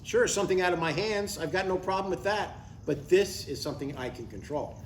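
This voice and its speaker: A man's voice: high voice, animated, a little fast. An older man playing an eager, stubborn retired father in a live comedy script table read, chatting earnestly and needling a little. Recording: echoey, distant live room with background noise.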